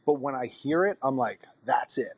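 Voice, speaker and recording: A man in his forties, a fitness coach hosting a podcast, talking in an energetic, profane, rambling way. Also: mid-range voice, normal speed, slightly rough, no echo. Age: 40s. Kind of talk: casual podcast conversation.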